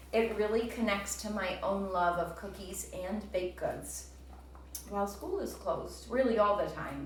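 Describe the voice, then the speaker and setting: A woman who sounds like a teacher, narrating a storybook and speaking directly to young listeners. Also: fairly low pitch. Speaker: teacher reading aloud to children. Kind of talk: storybook narration and comprehension prompts. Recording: roomy, distant, faint background noise.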